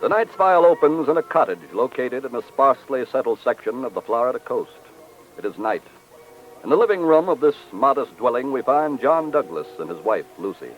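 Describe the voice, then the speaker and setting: A man's voice: mid-range voice, very animated, a little fast. A man narrating a 1940s radio drama in a grave, formal, dramatic manner. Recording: muffled 1940s radio recording with music.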